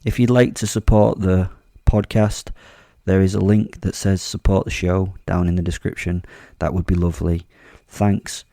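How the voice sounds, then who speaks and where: Male horror narrator, very deep and gravelly, mellow tone, menacing and wry. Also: little pitch movement, normal speed, dry recording, studio quality. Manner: brooding, wry and menacing, with repeated stumbles. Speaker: host and narrator. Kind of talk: first-person horror audio drama narration.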